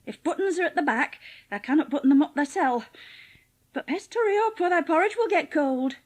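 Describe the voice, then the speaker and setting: A woman's voice: high voice, lively intonation, brisk. A woman playing a cheerful, plain-spoken young housemaid with a Yorkshire accent in a dramatised audiobook. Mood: brisk, chiding. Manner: chatty, blunt, homely dialect speech.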